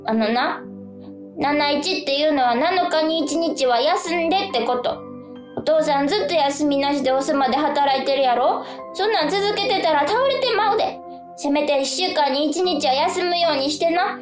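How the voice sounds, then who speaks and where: High-voiced earnest Kansai girl. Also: lively intonation, normal speed. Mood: caring, firm. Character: earnest, caring young daughter, wise with money. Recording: echoing, with clear music behind.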